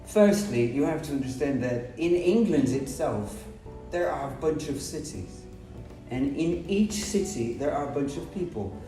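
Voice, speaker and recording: A man's voice: medium pitch, lively intonation, moderate pace, warm tone. Male teacher, emphatic explainer, roomy sound with music underneath. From Brazil. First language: Portuguese. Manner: emphatic, explanatory, speaks in short repeated phrases. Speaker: English teacher. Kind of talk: podcast conversation. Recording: roomy, distant mic, music behind.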